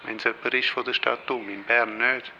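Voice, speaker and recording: A man's voice: medium pitch, fairly even intonation, normal speed, highs cut off. A man with a Swiss German accent, an undertaker explaining matter-of-factly and listing practical details in an interview. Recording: clear background noise.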